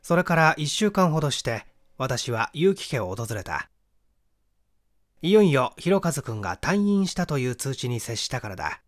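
A man's voice: medium pitch, big pitch swings, moderate pace. A man giving a dramatic scripted reading of a classic mystery novel as an audiobook narrator. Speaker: audiobook reader, small-theater actor.

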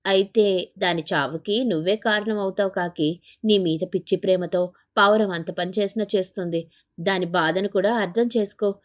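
A woman voicing a jealous, scheming parrot in an animated bird fable, sly and wheedling in manner. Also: medium pitch, moderate pace, close to an everyday voice. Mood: persuasive.

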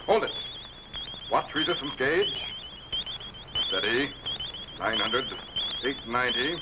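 An actor playing a commanding, earnest scientist captain in a 1950s TV serial, speaking in a clipped manner. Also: male voice, medium pitch, lively intonation, takes it slowly. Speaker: time-travelling scientist captain. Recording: noisy old 1950s TV film soundtrack.

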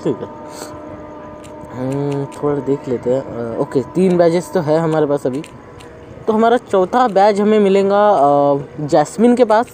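Young male host, relaxed let's-play chatter, light faint background noise. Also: on the high side, unhurried. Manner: chatty, thinks aloud while playing. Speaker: gaming channel host. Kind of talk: casual let's-play commentary.

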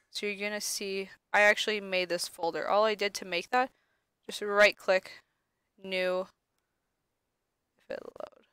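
A young woman lab instructor, sniffly from allergies, talking casually through a screen-recorded software tutorial with many ums. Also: medium pitch, clear, resonant tone, dry recording.

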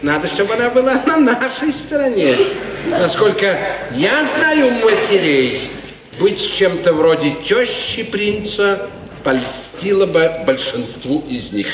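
An actor playing a haughty, scheming court chamberlain in a 1950s Soviet radio drama, theatrical and affected. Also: male voice, high voice, big pitch swings, a little slow, a crowd in the background. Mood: cool, calculating. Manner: theatrical, affected, mock-innocent. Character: smooth-tongued, scheming male court chamberlain, haughty marquis. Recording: echoey, distant, noisy 1950s radio recording.

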